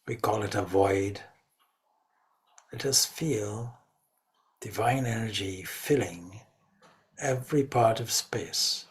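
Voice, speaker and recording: Slow, low-voiced male meditation guide. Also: a bit bright. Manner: measured, reverent, speaks in short separated phrases. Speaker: spiritual teacher leading meditation.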